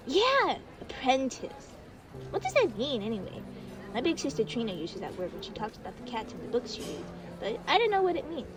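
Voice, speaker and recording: A woman's voice: high-pitched, very animated, brisk, recorded from a distance, over music. A woman playing an innocent, chatty young child in an audio roleplay, eager and rambling. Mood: curious, chatty.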